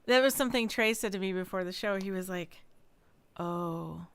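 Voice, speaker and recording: A woman's voice: medium pitch, moderate pace, smooth. A woman hosting a casual conversation, mostly giving short reactions to her guest. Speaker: podcast host. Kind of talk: casual chat, brief reactions.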